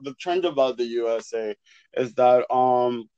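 A man's voice: medium pitch, unhurried, mellow, smooth, dry recording, muffled recording. A young man talking reflectively in casual conversation, searching for words with many "like" fillers. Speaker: podcast co-host.

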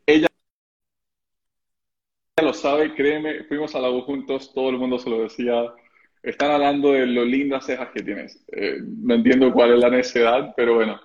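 A man's voice: medium pitch, steady tone, darkish tone. Man hosting a live stream, rambling questions, dull audio. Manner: fast, rambling questions with restarts. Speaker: host, interviewer.

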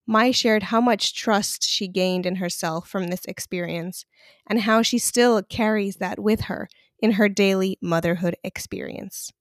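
A woman hosting a birth story podcast as a doula, friendly and quick to laugh, with many fillers. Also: mid-range voice, no room sound, clean studio sound. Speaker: podcast host and postpartum doula. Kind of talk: podcast interview with scripted intro.

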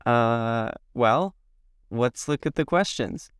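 A man from the Midwest in his thirties, slightly hoarse from a cold, answering interview questions to himself in a slow, halting, reflective way. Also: mid-range voice, animated, dry recording, studio quality. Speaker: podcast guest. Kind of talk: self-interview.

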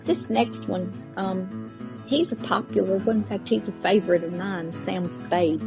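A woman hosting a show, giving a casual introduction in a hesitant, halting way. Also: medium pitch, poor audio. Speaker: radio show host. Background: plucked guitar music.

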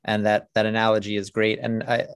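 A man's voice: on the low side, completely flat delivery, a little fast, smooth, no echo. A man hosting a podcast, talking thoughtfully and casually with lots of fillers. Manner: thoughtful, full of fillers like "like" and "you know". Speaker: podcast host.

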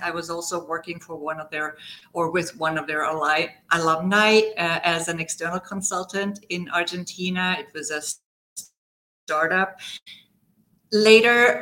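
A woman from Germany speaks with a clear German accent and a somewhat low, bright, forceful voice. She is middle-aged and tells her story at length as a podcast guest, with fillers and restarts along the way. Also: low-quality recording. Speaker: guest, leadership coach. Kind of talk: podcast interview.

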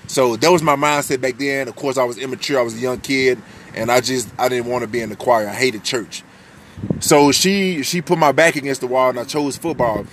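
Candid deep-voiced young man. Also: steady tone, brisk, a bit bright, a little hiss or hum. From United States. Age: 20s. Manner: candid, loose, swears freely, restarts often. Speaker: podcast host. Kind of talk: casual personal monologue.

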